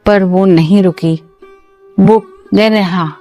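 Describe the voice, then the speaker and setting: A woman playing a frightened, caring mother in a cartoon story, recounting things dramatically and haltingly. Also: medium pitch, fairly even intonation, warm tone, faint music behind. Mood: fearful. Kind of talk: Hindi cartoon moral-story dialogue.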